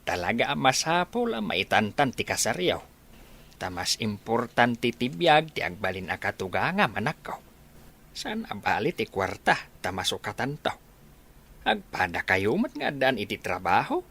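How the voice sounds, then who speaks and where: A man's voice: fairly low pitch, wildly swooping pitch, normal speed, bright, forceful tone, breathy edge, heavily acted. An actor playing a middle-aged, understanding father in a radio drama. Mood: concerned, understanding. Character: concerned, understanding father of a grown daughter. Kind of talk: Ilocano radio drama dialogue.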